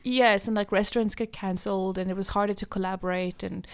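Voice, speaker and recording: A woman's voice: mid-range voice, steady tone, a little fast, clean tone. A young woman from Norway, a native Norwegian speaker, talking casually as an artist being interviewed. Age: young adult. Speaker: podcast guest, visual artist.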